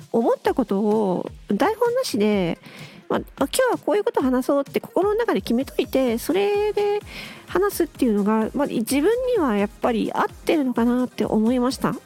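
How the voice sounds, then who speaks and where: A woman's voice: a little high, a little mellow. A woman hosting an unscripted solo audio show, murmuring casually as if to herself, close to the mic. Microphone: close to the microphone.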